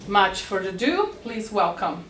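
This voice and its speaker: A woman hosting an evening event, formally introducing the guest speaker in a measured, welcoming way. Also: mid-range voice, big pitch swings, a bit bright. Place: lecture room with live audience. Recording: echoey room, mic far off.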